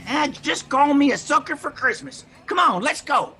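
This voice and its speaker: A woman's voice: high-pitched, expressive, normal speed. A woman playing a scrappy, chummy, streetwise helper who talks in blunt, folksy slang. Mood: chummy, conspiring.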